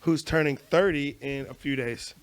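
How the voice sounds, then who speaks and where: A man co-hosting a podcast with a raspy voice, trading teasing, bro-style banter. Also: fairly high pitch, normal speed. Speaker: podcast co-host. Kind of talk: casual podcast chat.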